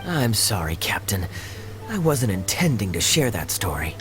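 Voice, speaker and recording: An actor playing a composed, tactful, wryly amused captain in a video game. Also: male voice, fairly low pitch, sing-song, normal speed. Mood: apologetic. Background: background music.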